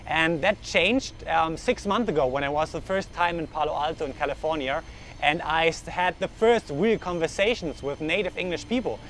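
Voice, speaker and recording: Young German-accented man, slightly high voice, earnest vlogger thinking aloud; outdoor camera mic, faint street noise. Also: moderate pace, a bit bright. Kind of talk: casual talk to camera. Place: outdoors in the sun, urban street.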